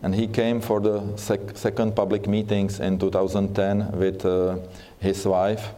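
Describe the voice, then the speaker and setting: Slow, deep Czech-accented man. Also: monotone, dark tone. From Czech Republic. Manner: halting, many fillers and restarts. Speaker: seminar speaker. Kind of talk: church seminar talk.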